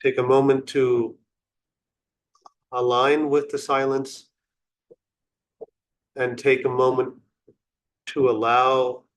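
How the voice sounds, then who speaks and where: A man leading a guided meditation in an unhurried, contemplative and instructional way, with long pauses between short phrases. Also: medium pitch, level tone.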